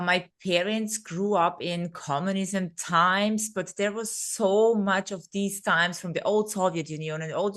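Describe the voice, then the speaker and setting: A woman's voice: on the low side, fairly bright tone. Woman in her thirties telling personal stories. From Vienna, Austria, born in Serbia. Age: 30s. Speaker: podcast guest, speaker and coach. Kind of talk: conversational personal storytelling.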